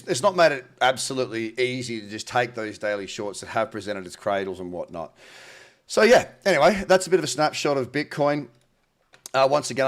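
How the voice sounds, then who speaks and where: A man hosts a casual market rundown in an informal, colloquial way. His voice is a little nasal from a head cold, and he talks like a trader chatting with mates. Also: medium pitch. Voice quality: slightly nasal. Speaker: host, crypto trading analyst. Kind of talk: casual market commentary to camera.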